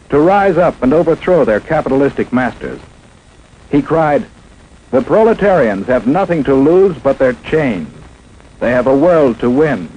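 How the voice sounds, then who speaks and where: Casting: male American narrator for a 1950s instructional film, dramatic and emphatic, lively swings in pitch, lightly noisy vintage soundtrack. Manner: emphatic, dramatic, rhetorical questioning.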